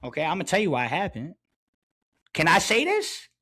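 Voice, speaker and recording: A man in his mid-forties with a notably high-pitched voice. He talks like a sports podcast host, casual and slangy. He drives his points home by repeating short phrases with emphasis. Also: wildly swooping pitch, a little fast, bright, forceful tone. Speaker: podcast host, basketball coach.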